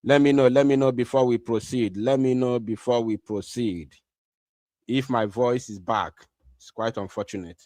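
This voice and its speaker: A man's voice: medium pitch, fairly even intonation, normal speed, a little mellow, dry recording. A man hosting a live online broadcast, talking to listeners in an urgent, insistent way and repeating his phrases.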